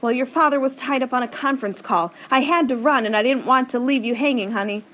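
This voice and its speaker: A woman's voice: sits high, fast talker, fairly bright tone, a little hiss or hum, pitched above the performer's own voice. Woman voicing a breathless loving mom. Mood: tender. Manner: breathless, tender. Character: busy, loving mother, out of breath.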